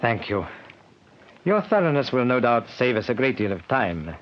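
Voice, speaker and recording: An actor playing a polite, hesitant insurance manager in 1950s radio drama style. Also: male voice, mid-range voice, big pitch swings, moderate pace, natural voice. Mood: courteous. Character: courteous middle-aged male insurance manager. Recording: muffled vintage radio transcription with hiss.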